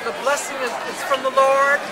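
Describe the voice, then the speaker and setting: A woman in her sixties with a very high voice speaks slowly and emphatically, with devout feeling. She is recorded close on a phone in a packed restaurant. Heavy crowd chatter runs underneath. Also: fairly even intonation, rough recording. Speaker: restaurant customer. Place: busy restaurant dining room. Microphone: phone camera held close. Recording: loud diner crowd chatter.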